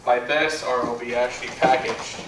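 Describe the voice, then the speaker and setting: A man's voice: mid-range voice, fairly even intonation, moderate pace. Man demonstrating from across an echoey room. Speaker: product demonstrator. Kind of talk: casual product assembly demonstration. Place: bare indoor room with carpet. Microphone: far camera. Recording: roomy echo, distant mic, background noise.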